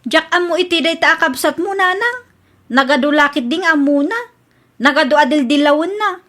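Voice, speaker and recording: Very high-voiced exasperated young niece, woman acting. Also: moderate pace, fairly bright tone. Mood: aggrieved. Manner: complaining, defensive. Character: exasperated young student niece, outspoken but polite. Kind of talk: radio comedy drama acting.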